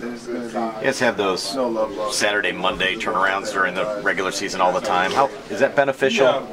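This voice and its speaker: Lowish-voiced male reporter in a noisy crowd. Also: brisk. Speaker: sports reporter asking questions. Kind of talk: informal press questioning. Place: busy locker room, indoors. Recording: very loud crowd chatter behind.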